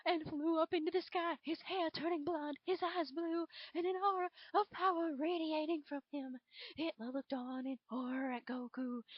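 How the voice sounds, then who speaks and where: A very high-pitched Southern belle dragon performed by a woman tells a story with theatrical, drawn-out emphasis. The recording is dry and somewhat dull, with a homemade lo-fi finish. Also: dry recording. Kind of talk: dramatic fan fiction reading.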